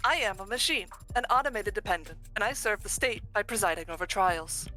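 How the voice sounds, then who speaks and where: A woman's voice: medium pitch, animated, bright, forceful tone, soft background music. A woman voicing a stately machine judge. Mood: solemn. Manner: formal, measured courtroom proclamation. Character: stately female machine judge presiding over trials. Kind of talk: voice acting a game live on stream.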